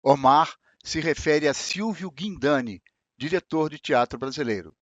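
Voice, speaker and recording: Measured male interviewer, dry close sound. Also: a little high, expressive, dry recording, muffled recording. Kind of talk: interview questions and voice-over.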